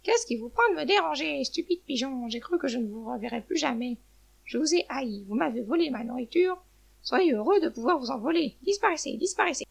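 A woman's voice: high voice, animated, moderate pace, creaky, pitched above the performer's own voice, exaggerated voice. A woman voicing a feisty, proud mother hen in a children's story, blunt and exclamatory. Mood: angry, scolding. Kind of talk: children's storybook character reading.

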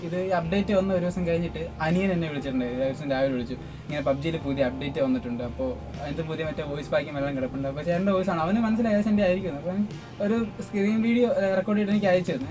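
Brief: male, high voice, rapid casual interview delivery, music clearly behind. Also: poor audio. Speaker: interviewee, sound engineer and dubbing artist. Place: small dubbing studio suite.

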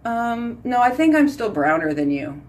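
A woman, chatting casually to a phone camera at home as a beauty vlogger, talking in a lively, filler-heavy way. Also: fairly high pitch, normal speed. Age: 30s. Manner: chatty, animated, lots of "like" and "y'all". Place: small bedroom or bathroom at home. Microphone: phone camera at arm's length.

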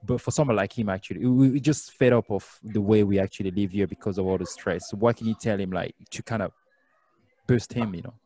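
A young man from Congo who speaks French as his first language, hosting a podcast interview through a condenser mic and headphones. He talks haltingly and searches for words, with loose English grammar. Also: lowish voice, warm tone. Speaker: podcast host. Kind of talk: casual interview. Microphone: studio condenser mic with headphones.

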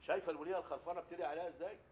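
Actor doing a cranky old egyptian trader, rattles it off fast, high-ish voice. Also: male voice, steady tone, darkish tone, slight background noise, natural voice. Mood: irritated. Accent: Egyptian Arabic. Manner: blunt, snapping, rapid. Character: older merchant, gruff and quick-tempered. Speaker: Hajj Salama.

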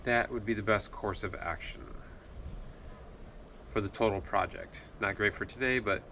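A man's voice: fairly low pitch, moderate pace, gravelly, bright, forceful tone. Folksy man with job-site noise behind. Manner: colloquial, folksy, self-deprecating. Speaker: home improvement vlogger, builder. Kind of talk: casual vlog talk about work plans. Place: job site, outdoors and in unfinished house.

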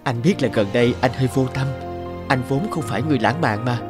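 A man's voice: mid-range voice, fast, lightly acted. Man acting apologetic husband, cartoon drama, coaxing and playful, music playing underneath. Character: apologetic unromantic husband coaxing his wife. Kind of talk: cartoon dialogue. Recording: music behind the voice.

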